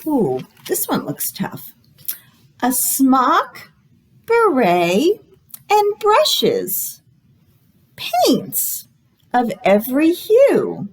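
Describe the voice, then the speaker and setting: A woman hosting a storytime for young children, reading aloud in an exaggerated, encouraging way and pausing after questions to invite guesses. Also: sits high, wildly swooping pitch. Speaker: children's librarian, storytime host.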